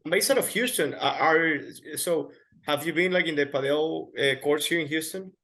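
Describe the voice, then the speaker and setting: A man's voice: on the high side, moderate pace, sharp tone. A man hosting an interview, upbeat and chatty, often restarting his questions. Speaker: host.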